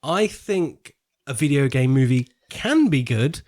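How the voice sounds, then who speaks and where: A man's voice: mid-range voice, lively intonation, measured pace, darkish tone. A man co-hosting a film podcast, talking in an informal, slangy, conversational way. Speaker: podcast co-host. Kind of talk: casual film podcast chat.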